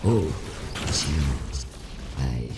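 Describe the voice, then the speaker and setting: A man's voice: very deep voice, lively intonation, very slow, over loud music, exaggerated voice. An actor playing a preoccupied, regretful husband and father in a video game drama, speaking tersely and hesitantly. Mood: sheepish.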